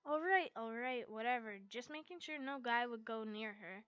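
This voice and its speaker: A woman's voice: a little high, moderate pace, a bit bright, smooth, no echo, dull audio, lightly acted. A woman voicing a hot-headed, possessive teenage boy from an anime story, brash and indignant. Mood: defiant.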